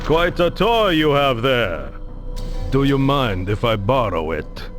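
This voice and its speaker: An actor playing a mocking, gloating medieval villain in a video game, with a taunting, drawn-out delivery. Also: male voice, medium pitch, big pitch swings. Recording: prominent game music behind the voice.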